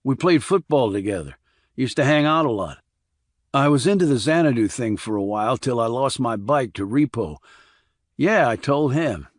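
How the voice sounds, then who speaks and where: A man voicing a weary, rough-edged small-town man in an audiobook, talking in clipped, colloquial, blunt phrases. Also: mid-range voice, animated, moderate pace, no room sound, clean studio sound, lightly acted. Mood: offhand.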